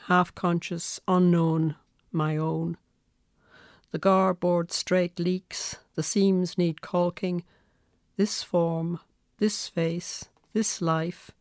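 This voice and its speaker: Irish female poet guest, reflective, creaky voice, close and dry recording. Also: lowish voice, a little mellow, dry recording. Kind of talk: measured poetry reading and reflective interview talk.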